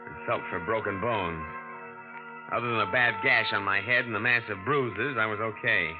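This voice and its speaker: A man's voice: fairly low pitch, close to an everyday voice. An actor playing a tough, streetwise young man who narrates his own scheme in a 1940s radio drama. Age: young adult. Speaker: drifter and narrator. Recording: muffled vintage radio recording with music.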